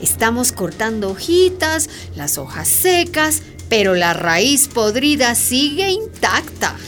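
An actress playing an earnest, didactic town mayor with a Latin American accent and emphatic key words in a radio drama. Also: female voice, fairly high pitch, very animated, takes it slowly, a bit bright, soft background music, exaggerated voice. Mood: firm, insistent. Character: earnest, worldly-wise female town mayor, explaining patiently.

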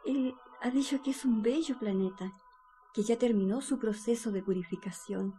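A woman playing a wistful, fearful, obedient wife in a radio play, speaking in a hushed, hesitant, yearning way. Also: fairly high pitch, moderate pace, slight background noise, close to an everyday voice. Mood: dreamy longing. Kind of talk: slow dramatic radio play monologue.

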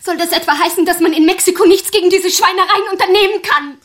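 A woman's voice: very high voice, quick pace, a bit bright. An actress playing the shaken, incredulous female client of a detective in a radio crime drama. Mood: agitated disbelief.

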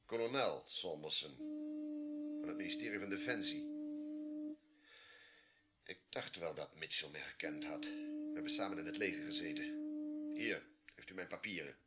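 Een acteur die in een hoorspel een beleefde, gezaghebbende legerkolonel speelt die beheerst dingen uitlegt. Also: male voice, sits low, wildly swooping pitch, normal speed, long pauses, over loud music. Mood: placating. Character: smooth-talking, authoritative army colonel from the ministry. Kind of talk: radio play acting.

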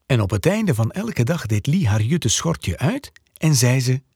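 Lively, expressive male storyteller. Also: mid-range voice, unhurried, husky, no room sound, studio quality. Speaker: storyteller, narrator. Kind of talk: scripted narration of children's radio play.

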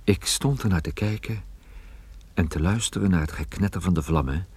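An actor playing a private detective who recounts his case in a measured, observant way, as first-person radio play narration. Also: low-pitched, darkish tone, slightly rough, dry recording. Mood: calm suspense. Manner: measured, descriptive storytelling.

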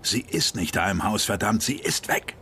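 An actor playing a crooked, foul-mouthed, scornful hustler with a gruff manner in a radio crime drama. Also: male voice, fairly low pitch, lively intonation, moderate pace, pushed and bright, a little hiss or hum. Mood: scornful, irritated.

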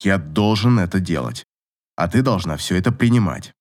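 The domineering man in a late-night romance audiobook, as a male narrator acts him, pinning his beloved down with low, insistent, authoritative orders. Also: animated, moderate pace. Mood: low, commanding. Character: possessive, domineering male lover, commanding and evasive.

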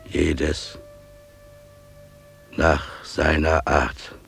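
An actor playing a humble, pious father and animal keeper in a radio drama, declaiming solemnly with weighty pauses. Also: extremely low pitch, little pitch movement, creaky, a bit bright, soft background music, heavily acted. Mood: solemn, reverent. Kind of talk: German radio drama acting.

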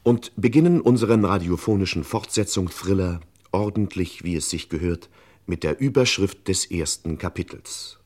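Deep pompous radio thriller narrator. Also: male voice, normal speed. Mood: mock-solemn. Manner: pompous, deadpan. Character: pompous male narrator of a parody detective thriller. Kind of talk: old radio thriller narration, mock-dramatic. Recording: noisy old radio recording with clicks.

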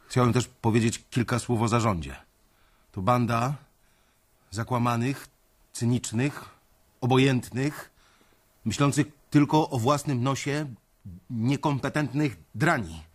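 An actor narrating in first person as an anxious, sardonic bank employee facing layoff, in a measured, dry radio drama delivery. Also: male voice, medium pitch. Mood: agitated. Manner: measured, dry, wry storytelling.

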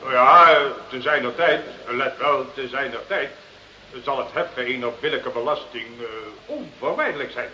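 A man's voice: medium pitch, expressive, normal speed, background noise, close to an everyday voice. A man acting in a Dutch radio play as a sly, pompous small-town mayor, officious, hemming and full of insinuation. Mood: evasive, officious. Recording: muffled, echoey old radio drama recording.

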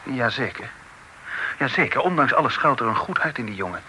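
A noisy old radio play recording of an earnest young gentleman. He speaks in a mid-pitched male voice, politely and formally, and with some insistence. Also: expressive, brisk. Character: earnest young gentleman, son of the chief sheriff. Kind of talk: Dutch radio drama acting.

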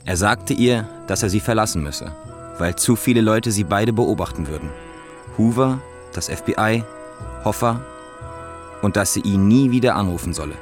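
A man gives a scripted documentary voice-over as a translator. His voice is lowish, with faint music behind it. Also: expressive, normal speed.

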